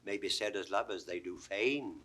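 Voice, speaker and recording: An actor playing a witty, bawdy court jester with mock-pompous relish in a 1960s Shakespeare audio drama. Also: male voice, sits low, normal speed, sharp tone. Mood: teasing, mock-learned. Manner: theatrical, wordplaying, mock-pompous.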